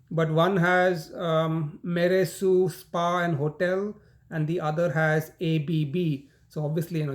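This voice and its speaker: A man with an Indian accent teaching a university law class, his voice sitting a little higher than most men's. He talks in a loose, chatty way, full of "you know" and false starts, as he walks students through the material. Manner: conversational, frequent "you know" and "um", repeats words. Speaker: law lecturer. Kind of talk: recorded university lecture.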